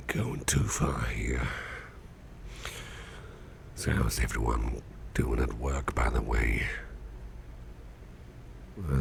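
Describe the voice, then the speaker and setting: Very deep, gravelly whispering man as a caring boss. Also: a little hiss or hum, full character voice. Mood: grateful, friendly. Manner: soft, slow, reassuring murmuring. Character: gentle, affectionate male boss, a businessman caring for you. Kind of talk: ASMR roleplay, soothing personal attention.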